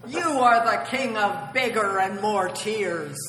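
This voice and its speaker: A woman's voice: mid-range voice, slow pace, fairly bright tone, reverberant, in a large hall, slight background noise, poor audio. A woman voicing a sardonic, mischievous storybook wolf with a growling manner. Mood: mocking.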